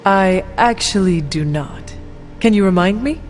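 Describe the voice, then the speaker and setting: An actress playing an earnest, resolute young warrior heroine in a video game cutscene, speaking formally in measured phrases. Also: female voice, mid-range voice, expressive, natural voice. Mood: uncertain. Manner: formal, measured, few contractions. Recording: clear music behind voice.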